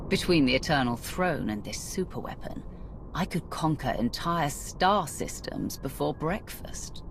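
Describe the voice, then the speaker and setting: A woman's voice: low voice, animated, unhurried, a little hiss or hum. A woman playing a ruthless, imperious empress in a video game, cold and commanding in manner. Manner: commanding, menacing. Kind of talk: video game cinematic acting.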